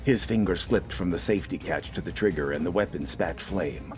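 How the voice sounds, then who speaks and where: A male audiobook narrator with a fairly low voice. He reads scripted dramatic fiction, with faint music playing underneath. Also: fairly quick.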